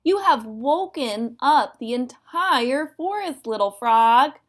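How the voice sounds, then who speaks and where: A woman's voice: high voice, expressive, takes it slowly, voice pushed up. A woman voicing a bold, bossy possum who speaks emphatically in a children's storybook. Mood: scolding. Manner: emphatic, demanding, proclaiming. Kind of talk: children's storybook read-aloud.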